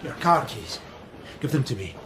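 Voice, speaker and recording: An actor playing a stern, exasperated senior police boss with a Scottish accent, scolding and commanding in a radio drama. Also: male voice, medium pitch, animated, normal speed, noisy background, lightly acted. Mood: stern, angry. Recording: long echoing reverb.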